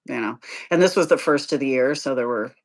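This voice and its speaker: A woman cycling coach, slightly hoarse, chatting casually and laughing often. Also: rumbling low, a little fast. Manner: chatty, laughs often, voice a bit worn from teaching.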